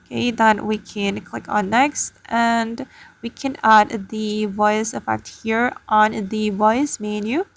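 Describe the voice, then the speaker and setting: A woman's voice: fairly high pitch, steady tone, slow pace, creaky. A woman hosting a casual tutorial, explaining things step by step to her viewers. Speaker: tutorial host. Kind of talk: casual spoken screen tutorial.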